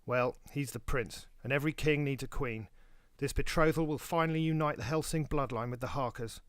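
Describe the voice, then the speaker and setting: A man playing a composed, stern royal godfather in an audio drama, with measured, formal delivery. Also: medium pitch, dry recording, close to an everyday voice. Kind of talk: scripted audio drama acting.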